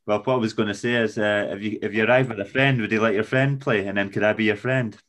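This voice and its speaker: A man's voice: fairly low pitch, steady tone, quick pace, a crowd in the background. A man hosting a chatty interview on a video call, asking rambling, joking questions. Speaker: podcast host. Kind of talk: casual interview chat.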